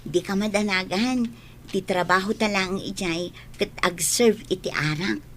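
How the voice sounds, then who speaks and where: An actress playing a persuasive, confident young waitress in a radio drama, with an Ilocano accent. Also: female voice, mid-range voice, a little slow, a little hiss or hum, lightly acted.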